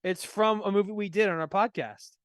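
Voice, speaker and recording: A young American man speaks as a livestream co-host with a fairly high-pitched voice. He chats and tells anecdotes in a blunt, joking style with casual swearing. The sound is dry, with hardly any room in it. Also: a little fast, no room sound.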